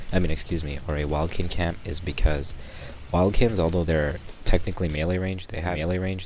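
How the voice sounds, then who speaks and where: A young man with a raspy voice, sick, gives a rambling gameplay walkthrough in a very low register. Loud music plays behind his voice-over. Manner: rambling, many ums and restarts. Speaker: gameplay commentator. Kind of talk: casual gameplay tutorial voice-over.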